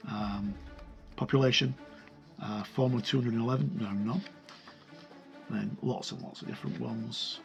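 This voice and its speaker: A man's voice: lowish voice, normal speed, gravelly, pauses often, muffled recording. A man giving a casual, unscripted review as he leafs through a book, hesitantly listing items aloud. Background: music.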